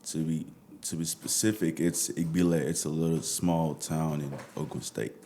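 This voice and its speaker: A man's voice: extremely low pitch, fairly even intonation, moderate pace, dark tone. A young Nigerian man with a Nigerian accent, talking casually as a musician guest in an interview.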